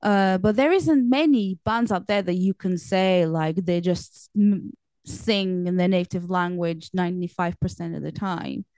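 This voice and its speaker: Smooth-voiced woman chatting. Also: fairly low pitch, unhurried, a little mellow, dry recording. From Portugal. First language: Portuguese. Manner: chatty, meandering, lots of fillers. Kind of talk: casual chat.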